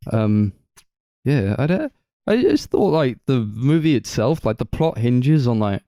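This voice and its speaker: A man's voice: on the low side, dark tone, no echo, studio quality. A man co-hosting a podcast, casually discussing films in a rambling way peppered with "like". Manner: rambling, full of "like". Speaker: podcast co-host.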